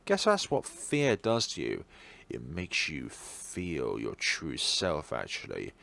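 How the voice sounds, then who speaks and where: A man's voice: a bass voice, huge pitch swings, slow pace, gravelly, bright, forceful tone. A man reading a visual novel's narration aloud as a let's player. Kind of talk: narration read aloud for a game playthrough.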